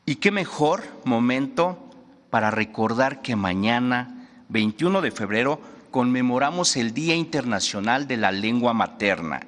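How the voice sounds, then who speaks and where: A man of middle pitch delivering a measured, ceremonial address. His voice carries a long reverb from a large hall. The tone stays formal and solemn throughout. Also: a bit bright. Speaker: official presenting a segment at a press conference.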